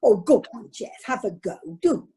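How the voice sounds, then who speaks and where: A woman with a husky voice playing a proud, coaxing wife in an amateur radio drama. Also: on the high side, very animated, normal speed, mellow, exaggerated voice. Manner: coaxing, encouraging.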